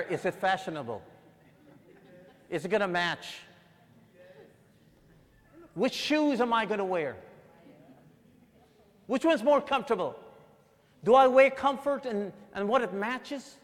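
Preacher from Guyana, a man with a higher-set voice, telling his story then building to fervor, heard in a reverberant hall. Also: unhurried, long pauses. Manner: builds from quiet storytelling to loud, fervent emphasis. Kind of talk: sermon.

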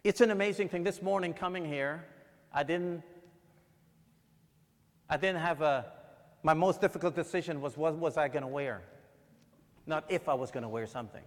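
A man from Guyana preaching a sermon, moving from quiet personal storytelling to loud, fervent emphasis. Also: a little high, normal speed, long pauses, big-room sound.

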